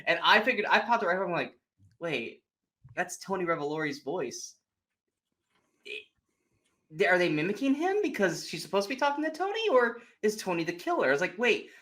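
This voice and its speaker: A man co-hosting a film podcast in a casual chat, animated and sweary, acting out his reactions as he retells things. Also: high voice, brisk. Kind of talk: casual movie spoiler chat.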